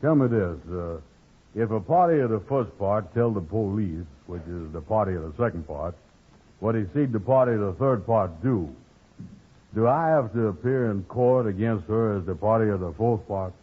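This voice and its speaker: An actor playing a slow-witted, lovelorn man with a hesitant, muddled way of talking in an old radio comedy. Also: on the low side, animated, husky. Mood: muddled, wary. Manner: dim, hesitant, malapropisms. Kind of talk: 1950s radio comedy acting. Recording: noisy, phone-like old radio recording.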